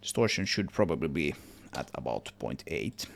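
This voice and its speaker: Deep gravelly guy doing a screen tutorial, kinda thinking out loud and correcting himself. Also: big pitch swings, normal speed, slight background noise. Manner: hesitant, self-correcting, trailing off as he works. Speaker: tutorial presenter, game audio developer. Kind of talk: casual screen-recorded software tutorial, thinking aloud.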